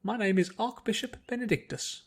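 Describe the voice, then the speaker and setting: A dignified male archbishop from a fantasy storybook, as a man reads him. He is kindly and paternal. His phrasing is measured and formal. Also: high voice, vocal fry, fairly bright tone, voice pushed up, full character voice. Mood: courteous, curious.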